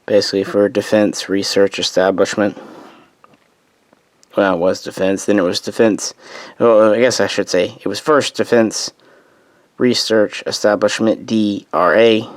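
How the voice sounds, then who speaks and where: A man's voice: fairly low pitch, fairly even intonation, normal speed, no echo, studio quality. A man losing his voice, casually narrating a history explainer as host, rambling and correcting himself with offhand asides.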